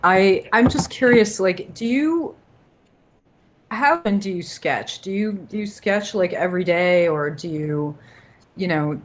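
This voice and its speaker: A woman's voice: deep voice, a little hiss or hum. A woman interviewing casually as a host, tentative and circling around her questions before she lands on them.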